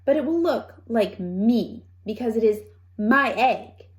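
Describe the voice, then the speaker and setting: A woman voicing a vain, haughty storybook hen who boasts in a long, drawn-out drawl. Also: on the high side, expressive. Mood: haughty, insistent.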